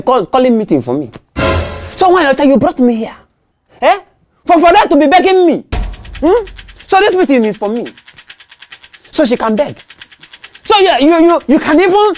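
An actor playing a boastful, spiteful compound caretaker, stammering and mocking in a comedy skit. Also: male voice, extremely high pitch, sing-song, normal speed, pauses often, faint music behind. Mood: taunting. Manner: mocking, stammering, with Igbo exclamations.

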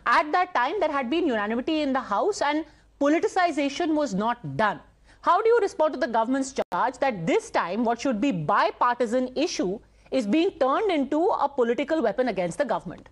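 A woman news anchor with a high voice questions her guest on live TV. She presses firmly, rephrasing questions until she gets an answer, in a formal, articulate delivery. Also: very animated, fairly quick. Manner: persistent, pointed questioning.

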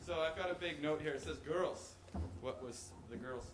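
A man's voice: on the high side, normal speed, a little hiss or hum. Joking young man giving a live tech talk. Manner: loose and joking, with whoops and laughs. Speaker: conference presenter. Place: conference hall with audience.

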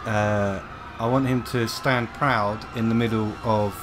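A man with a fairly low voice plays a tabletop game. He talks casually through his moves over clearly audible noise. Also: steady tone, a little slow. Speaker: player in a tabletop role-playing game. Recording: noticeably noisy home recording.

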